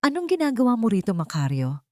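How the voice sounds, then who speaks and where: A woman's voice: a little high, wildly swooping pitch, fast, no room sound, studio quality, pitched above the performer's own voice. Woman voicing a wounded, defiant Filipino mother. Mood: alarmed, determined. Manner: trembling but determined, bitter. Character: determined, hurt young mother protecting her daughter.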